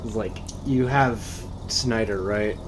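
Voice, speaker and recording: A teenage boy co-hosting a casual chat, hesitant with dry deadpan quips. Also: lowish voice, slow. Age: teens. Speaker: co-host. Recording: noisy background.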